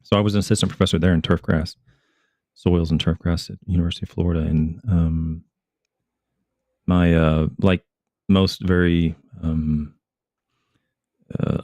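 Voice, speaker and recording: A man from Oklahoma speaking as an expert guest, casually and haltingly telling his background in an interview. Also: low-pitched, a little mellow, no echo, clean studio sound. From Oklahoma, United States. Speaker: expert guest, retired turfgrass academic.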